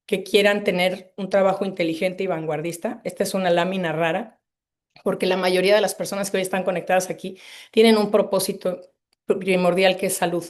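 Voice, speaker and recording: A woman opens an online talk over a video call. She gushes and rambles, filling pauses with hesitations as she warms up her audience. Her voice sits in a middle register. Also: mid-range voice. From Mexico City. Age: 50s. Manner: effusive and rambling, with many hesitations. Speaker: webinar host. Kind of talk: online presentation to a live audience.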